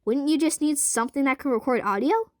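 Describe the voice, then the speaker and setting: A woman's voice: sits high, brisk, darkish tone, no echo, close to an everyday voice. A woman playing a practical, dry-witted young woman in an audio drama. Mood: matter-of-fact.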